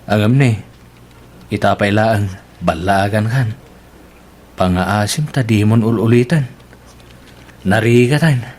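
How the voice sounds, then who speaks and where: Man as a frank, low-voiced Filipino buddy. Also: slow pace, pauses often, a little mellow. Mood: admonishing. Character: concerned, plain-spoken male friend warning his buddy. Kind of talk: Ilocano audio drama acting.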